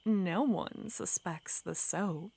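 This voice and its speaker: Brief: a woman as a sweetly menacing young witch, breathy close whispers, very slow delivery. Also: on the low side, mellow, heavily acted. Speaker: ASMR roleplay performer. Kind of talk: ASMR roleplay.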